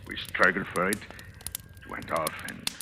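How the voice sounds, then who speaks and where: A man's voice: sits low, animated, moderate pace, gravelly, sharp tone, a little hiss or hum, full character voice. An actor playing a scheming, self-assured husband in a 1940s radio thriller, theatrical and intense, confessing his own crime. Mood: shaken, pleading. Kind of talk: 1940s radio thriller acting, first-person confessional narration. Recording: old radio recording with dramatic music.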